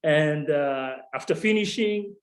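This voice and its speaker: A man with a Kenyan accent, an assistant chaplain, telling his story in a relaxed and playful way. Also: on the high side, slow pace. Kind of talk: casual storytelling on a video call. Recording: roomy echo.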